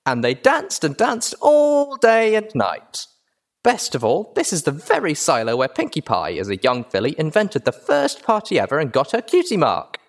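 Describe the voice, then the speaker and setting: Storybook role: excitable young female pony tour guide, performed by a man, very high-pitched, bubbly, gushing, hugely animated delivery. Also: moderate pace, full character voice. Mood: exuberant, showing off. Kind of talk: animated audiobook character voice.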